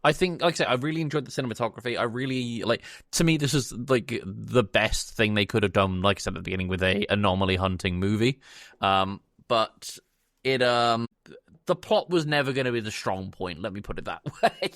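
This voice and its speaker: A man's voice: on the low side, brisk, a bit bright, no echo, studio quality. A man co-hosting a podcast, giving his opinions on films in an informal, conversational way, thinking aloud. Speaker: podcast co-host. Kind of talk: casual film review chat.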